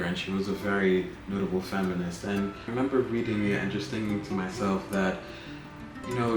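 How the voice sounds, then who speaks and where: A man in his twenties from Nigeria, talking thoughtfully in a personal video monologue and often checking in with "right?". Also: on the low side. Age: 20s. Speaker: vlogger. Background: guitar music.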